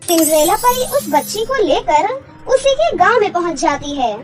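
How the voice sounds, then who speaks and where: A woman's voice: very high-pitched, very animated, moderate pace, loud music behind the voice. A woman narrator reading a scripted children's fairy tale.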